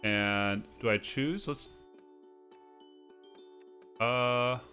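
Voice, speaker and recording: A man's voice: medium pitch, very slow, sharp tone. Man with game music behind him. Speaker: host of a video game playthrough series. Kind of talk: let's play commentary and reading game text aloud.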